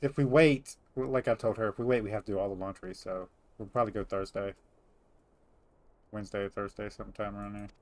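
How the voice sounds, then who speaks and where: A man's voice: on the low side, fairly even intonation, quick pace, dry recording. A middle-aged man chatting casually over gameplay as a host, rambling and teasing as he goes. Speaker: gaming host.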